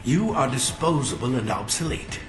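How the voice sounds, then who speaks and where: An actor playing a menacing, contemptuous mercenary villain in a video game, talking slowly with a sneer. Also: male voice, medium pitch, sing-song, close to an everyday voice. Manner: slow, sneering. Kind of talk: dramatic video game dialogue. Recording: echoey space, music behind.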